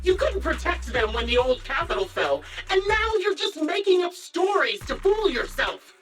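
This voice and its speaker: A woman's voice: high-pitched, big pitch swings, normal speed, a bit bright, recorded from a distance, faint music behind. An actress voicing a cruel, mocking female criminal villain in a video game, taunting theatrically. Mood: scornful accusing.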